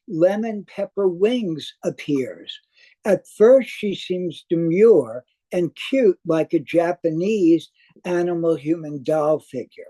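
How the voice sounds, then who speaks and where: Mellow, measured older man reviewing. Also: a little high. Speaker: theater reviewer. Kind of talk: scripted spoken review.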